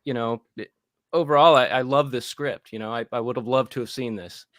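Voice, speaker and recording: A man with a mid-pitched voice shares opinions in a casual discussion. His delivery is halting as he thinks aloud, and the sound is dry. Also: fairly bright tone, no room sound. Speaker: panel participant.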